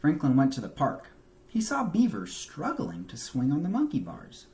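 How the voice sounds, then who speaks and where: A man reading a children's book aloud as a teacher, with expressive, emphatic narration for young listeners. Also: fairly high pitch, normal speed.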